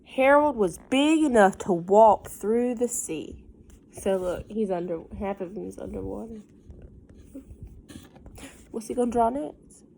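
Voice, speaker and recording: A woman's voice: mid-range voice, unhurried, creaky, pauses often, warm tone, slight background noise, rough recording. A woman reading a picture book aloud to young children like a teacher, breaking off for casual asides, simple explanations and questions.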